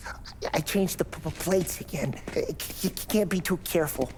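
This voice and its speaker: An actor playing a meek, nervous mob garage mechanic with a heavy stutter in a game cutscene. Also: male voice, sits high, normal speed, in a whisper, natural voice. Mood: nervous, weary. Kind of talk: dramatic video game cutscene acting.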